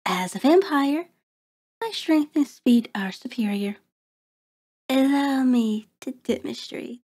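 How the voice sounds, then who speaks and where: A woman's voice: high voice, heavily acted. A woman playing a cruel, predatory vampire in an ASMR horror roleplay, taunting and haughty, savoring words in formal diction. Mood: boastful, menacing. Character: cruel, predatory female vampire toying with her captive.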